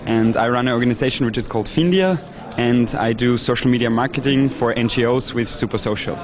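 A young man who works in NGO marketing, speaking calmly and in a measured way in an interview. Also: medium pitch. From Austria. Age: young adult. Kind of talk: interview remarks at a youth forum.

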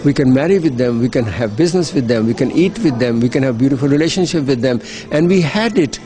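A man's voice: medium pitch, expressive, fast, faint music behind. A middle-aged man, giving earnest, persuasive interview answers as an NGO worker, often repeating words as he restarts. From Pakistan. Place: hotel.

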